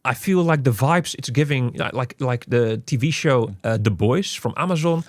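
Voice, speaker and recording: A man hosting a casual game review, speaking haltingly and often repeating his words. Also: medium pitch, dry recording, studio quality. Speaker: podcast host reviewing a video game.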